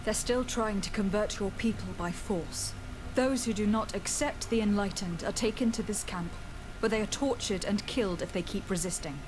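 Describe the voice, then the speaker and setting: A young noblewoman diplomat, acted by a woman in a fantasy video game. She speaks at a middle pitch, measured and articulate, with earnest resolve. Clear game ambience noise sits behind the voice. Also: close to an everyday voice. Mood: earnest, persuading. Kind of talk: scripted video game dialogue acting.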